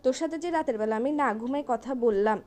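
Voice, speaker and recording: A woman's voice: fairly high pitch, fast, dark tone, close to an everyday voice. A young woman with a Chittagonian Bangladeshi accent playing an angry, nagging girlfriend in a comic phone argument, scolding and threatening over and over.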